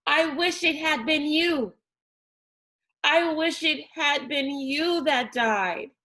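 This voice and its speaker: A woman voicing a wounded, furious young boy in a storybook with emotional, drawn-out outbursts. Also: high voice, pushed and bright, voice pushed up, heavily acted. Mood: anguished rage.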